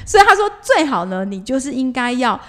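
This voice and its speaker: A woman's voice: sits high, sing-song, brisk. A woman with a Taiwanese Mandarin accent in a casual podcast chat, telling stories expressively as co-host.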